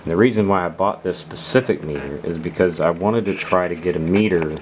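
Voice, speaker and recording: A man casually demonstrating gear as a video host, explaining things in a halting, unhurried way. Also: low voice. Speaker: host of a radio gear channel. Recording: clearly noisy background.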